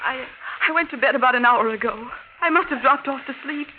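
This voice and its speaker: An actress playing a shaken society wife in a 1940s radio mystery, recounting with hesitant drama. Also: female voice, high-pitched, fast talker, natural voice. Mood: shaken, dramatic. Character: shaken, breathless society wife telling her ordeal. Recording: tinny, noisy 1940s radio recording.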